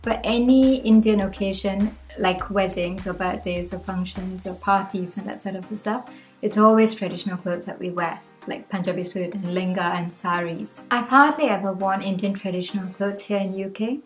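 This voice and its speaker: A young woman from Malaysia, talking to the camera from a room at home. She shares her own reflections in an earnest, chatty way, and her pitch rises now and then. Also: moderate pace, soft background music, poor audio. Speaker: vlogger. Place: home room with bookshelves, indoors.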